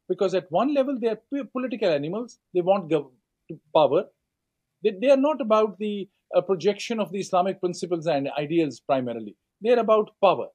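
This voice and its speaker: A middle-aged man with a Pakistani accent answers at length in a measured, lecturing way, pausing on fillers and restarting phrases. His voice sits high for a man, with a mellow, dark tone. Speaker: author and scholar guest.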